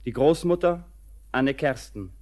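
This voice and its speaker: A man giving a formal, measured broadcast announcement as a radio announcer. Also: mid-range voice, expressive, darkish tone.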